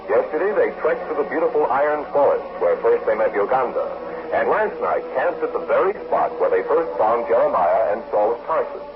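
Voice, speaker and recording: A man narrating a 1940s radio adventure serial in a formal, grand announcer style. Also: mid-range voice. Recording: noisy, tinny old radio recording.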